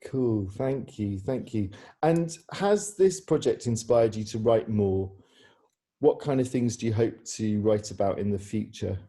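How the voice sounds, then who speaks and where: A man's voice: fairly low pitch, a little slow, warm tone. A man hosting an informal question-and-answer chat over a video call, phrasing his questions hesitantly. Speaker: theatre director hosting a Q&A. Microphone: video call microphone.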